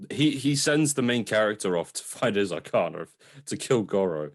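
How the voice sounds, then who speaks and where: A man's voice: lowish voice, lively intonation, normal speed, dry recording, clean studio sound. A man chatting casually as he reviews a film, with a blunt, informal, sweary way of talking. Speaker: film reviewer on a two-person show.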